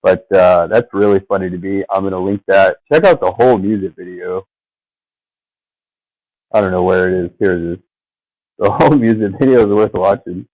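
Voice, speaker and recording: A man with a fairly low voice rambles in a loose, meandering way, trailing off and filling pauses with fillers. The sound is dry and close, as if he's sitting at a home computer mic. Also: a little mellow, no echo, low-quality recording. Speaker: vlogger. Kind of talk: rambling casual chat.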